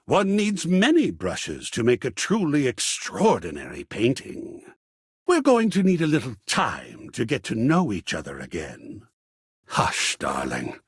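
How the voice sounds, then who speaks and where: An actor playing a flamboyant, seductive living work of art in a video game, theatrical and affectionate, with breathy husky endearments. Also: male voice, lowish voice, dry recording, clean studio sound, heavily acted. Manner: theatrical, drawn-out purring endearments with sudden exclamations. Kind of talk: theatrical game character acting.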